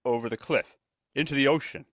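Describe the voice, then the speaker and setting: A man's voice: mid-range voice, brisk, a bit bright, dry recording, studio quality, close to an everyday voice. A man playing a shaken, dread-struck character in old-time radio suspense style, stammering and repeating himself. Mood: shocked. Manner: stammering, repetitive. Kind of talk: 1940s radio suspense acting.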